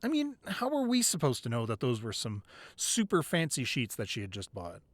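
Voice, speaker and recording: A man's voice: mid-range voice, huge pitch swings, moderate pace, dry recording. A man playing an affectionate boyfriend who secretly runs a crime ring, in an intimate, conversational ASMR roleplay. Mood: playful, amused. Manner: conversational, playful with partner, curt and commanding in business.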